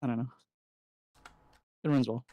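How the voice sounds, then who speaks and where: A man's voice: mid-range voice, steady tone, moderate pace, lo-fi sound. A man in casual chat on a group game stream.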